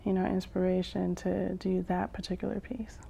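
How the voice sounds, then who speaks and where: A woman poet and vocalist performing spoken-word poetry with drawn-out phrases, pauses and hums, some lines half sung. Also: medium pitch, flat delivery, gravelly, mellow, slight background noise. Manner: slow, drawn-out delivery with long pauses and hums. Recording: dull lo-fi sound.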